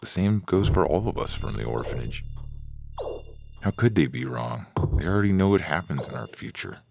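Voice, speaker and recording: A man plays a hesitant young fiancé who trusts the rules, in an extremely low, creaky voice. Faint music runs under this dramatic audio story reading. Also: expressive, moderate pace, a little mellow, exaggerated voice. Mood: hesitant, reasoning.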